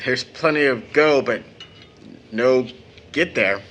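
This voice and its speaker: A man's voice: mid-range voice, fairly bright tone. An actor playing a young man, a devoted son with a bitter, teasing streak, in a dramatic audio play. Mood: wry, discouraged. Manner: dramatic, emphatic delivery with long pauses. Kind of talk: stage-style audio drama acting.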